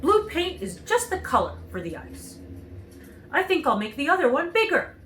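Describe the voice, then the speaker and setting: A woman acts out a chatty storybook Munchkin farmer, pleased with his own work. The voice is high and very lively, with brisk, emphatic phrasing. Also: echoey room, slight background noise. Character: chatty, self-satisfied Munchkin farmer man making a scarecrow.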